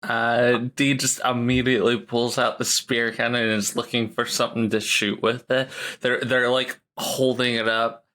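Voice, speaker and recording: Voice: medium pitch, flat delivery, normal speed, few pauses, fairly bright tone. A tabletop game player casually describing actions and discussing rules. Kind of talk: casual improvised game play.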